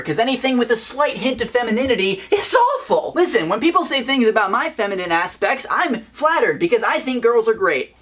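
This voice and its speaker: A man's voice: high voice, a bit bright. A young man with a light, feminine-sounding voice, ranting chattily and playfully as a vlogger. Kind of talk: casual opinion vlog.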